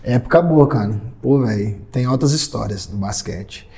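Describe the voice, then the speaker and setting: A man's voice: medium pitch, normal speed. A man in his thirties from São Paulo state, Brazil, a guest singer reminiscing in casual chat. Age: 30s. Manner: reminiscing, relaxed storytelling.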